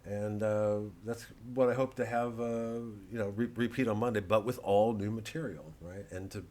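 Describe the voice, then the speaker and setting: Male comic, lowish register, loose off-the-cuff rambling, sardonic and halting, talks straight to the listener. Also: fairly even intonation, darkish tone. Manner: halting, digressive, peppered with "you know" and "man"; sardonic, mocking, profane when worked up. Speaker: comedian promoting his show. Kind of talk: rambling monologue to camera.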